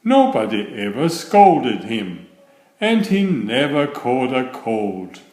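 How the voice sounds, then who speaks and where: A man narrates a children's story at a slow, deliberate pace, his pitch rising and falling with plenty of animation. The voice sits in a medium male register, with audible room echo around it. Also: wildly swooping pitch, a little mellow. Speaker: storyteller reading children's picture books. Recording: roomy echo on the voice.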